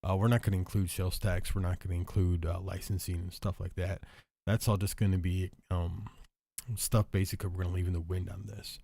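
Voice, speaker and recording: A deep-voiced man with a husky, worn-out sound, hoarse and a bit stuffy, talks casually to his viewers. He rambles, circles back, and fills pauses with "uh" and "um". His mellow voice sits in a dry, close recording. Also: level tone, no room sound. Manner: rambling, thinking aloud with many fillers and restarts. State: hoarse, stuffy after illness. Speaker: show host. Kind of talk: casual solo talk to viewers.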